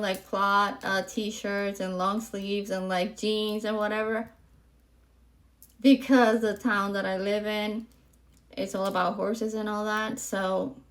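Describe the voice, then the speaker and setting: A woman in her forties from Puerto Rico, hosting her podcast in a candid, chatty monologue full of ums and likes. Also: medium pitch. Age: 40s. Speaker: podcast host. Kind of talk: casual monologue to camera.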